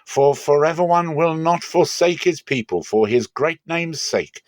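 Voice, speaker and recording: A man's voice: fairly high pitch, lively intonation, unhurried, no room sound, pitched above the performer's own voice. Stern prophet, played by a male reader, declamatory and impassioned, exhorting a people, scripture drama, dry sound. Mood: earnest exhortation.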